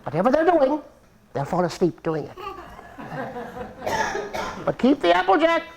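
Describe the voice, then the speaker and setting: A man voicing a kindly magical guide in a live puppet show, with a lilting, drawn-out, sing-song delivery. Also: very high voice, huge pitch swings, creaky, a crowd in the background, pitched above the performer's own voice, full character voice. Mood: gentle, encouraging. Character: kindly high-voiced magical guide sending Jack off.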